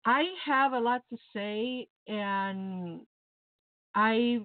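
A woman's voice: on the high side, drawn-out words, no echo. Talkative woman with a Mexican accent. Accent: Mexican Spanish. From Mexico City. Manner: lively, talkative, joking. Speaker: podcast guest. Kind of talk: casual interview talk. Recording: tinny phone-like line.

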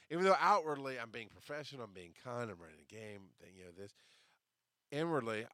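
A man hosting a podcast, telling a story in casual, profane, animated fashion and acting out what people said, with a deep, bass-heavy voice. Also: no room sound, studio quality. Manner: animated, profane, acts out quoted lines. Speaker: podcast host.

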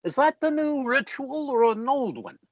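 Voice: high-pitched, big pitch swings, normal speed, slightly rough, no echo, highs cut off, full character voice. An actor playing a wisecracking big brother. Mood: joking. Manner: joking, wisecracking. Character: joking big brother, young man.

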